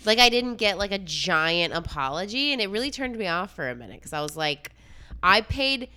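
A woman's voice: mid-range voice, very animated, moderate pace, pushed and bright, clear, resonant tone. A young adult woman, congested with the flu, co-hosting a podcast in frank, profane casual chat. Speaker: podcast co-host.

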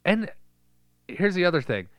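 A man's voice: sits high, very animated, normal speed, fairly bright tone, dry recording. A man co-hosting a casual podcast, talking over a movie with crude jokes and plenty of swearing. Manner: crude, joking, swears often. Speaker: podcast co-host.

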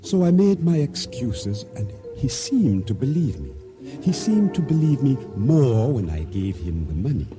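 A man's voice: medium pitch, big pitch swings, unhurried, over music. A man playing a guarded, earnest island trader in a radio drama, telling his tale and talking in grave, formal phrases. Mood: brooding. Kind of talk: radio drama narration and dialogue.

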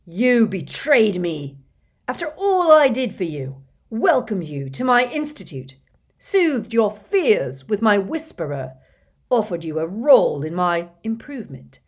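A woman voicing a menacing, pompous male villain who talks in a loud, drawn-out sneer. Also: medium pitch, big pitch swings, breathy edge, heavily acted. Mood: accusing.

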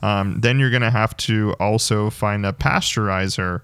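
A man's voice: on the low side, normal speed, a bit bright, clean tone, dry recording, clean studio sound. A man co-hosting a casual chat, informal and agreeable, with encouraging remarks for his partner. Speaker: co-host.

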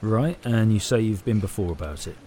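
An actor playing a dismissive police desk officer in an audio drama, formal, measured and patronising. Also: male voice, fairly low pitch, expressive, dark tone. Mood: officious.

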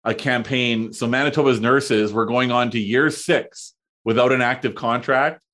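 A man in his thirties, chatting as a podcast guest, talking in a halting way with lots of fillers and restarts. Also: medium pitch, steady tone, fairly bright tone. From Canada. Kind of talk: casual conversation.

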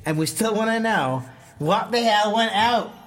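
Player as a Swiss-accented commander who questions his trainees haltingly, speech in a middling voice. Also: mid-range voice, expressive, a bit bright, soft background music. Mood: questioning. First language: not English. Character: male military commander overseeing ninja trainees. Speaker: commander character. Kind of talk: tabletop roleplay in character.

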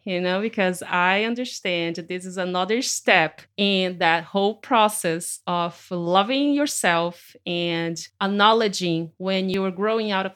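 A woman photographer hosting a podcast interview in her studio, speaking gently and effusively with many fillers. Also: mid-range voice. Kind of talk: warm conversational interview.

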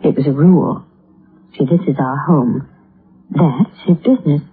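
An actress in a 1950s radio drama playing a reserved, worried, middle-aged well-to-do wife, speaking in a guarded, formal, hesitant way. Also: female voice, low-pitched, measured pace, faint music behind. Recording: muffled, narrow-band old radio broadcast.

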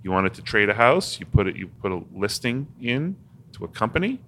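Deep voiced guy from massachusetts, rambly answers, lots of restarts, faint background hum. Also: sing-song, brisk, fairly bright tone. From Massachusetts, USA. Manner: rambling, many restarts and fillers. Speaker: interview guest. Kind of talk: casual conversation.

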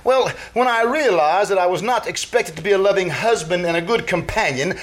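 A man's voice: high-pitched, expressive, brisk. A man acting a suave, needling ex-husband with a wry, glib, mocking manner, in 1940s radio comedy style. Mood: cutting, earnest.

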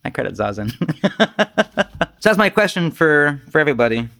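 A man giving an informal, rambling Zen talk as a retreat teacher, conversational, animated and self-deprecating. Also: a little high, normal speed.

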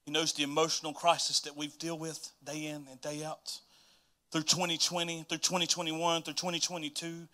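A man with a Southern US accent preaching an informal, folksy church sermon and reading scripture, talking straight to his listeners and moving between emphatic and hushed delivery. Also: fairly high pitch, monotone, normal speed.

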